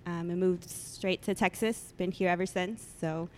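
Soft-spoken woman, Spanish her first language. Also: lowish voice, steady tone, moderate pace. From El Salvador. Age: 20s. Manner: soft-spoken, many ums, laughs often. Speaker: guest photographer. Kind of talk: casual interview chat.

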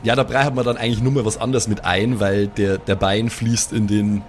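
A man's voice: on the low side, fairly even intonation, normal speed, soft background music. A man playing in a tabletop game, chatting casually and rambling, with lots of hesitant restarts. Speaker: player.

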